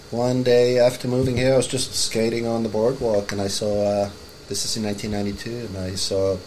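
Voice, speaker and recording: A middle-aged man from Finland, a podcast guest, chatting in a relaxed, rambling way full of "you know"s. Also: fairly low pitch, slight background noise, low-quality recording. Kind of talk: casual chat.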